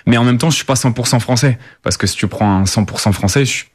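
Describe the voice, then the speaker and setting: A man's voice: mid-range voice, fast, dry recording, clean studio sound. A young man from the Paris suburbs, answering interview questions casually with many fillers and restarts. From Paris suburbs, France. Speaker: rapper, guest.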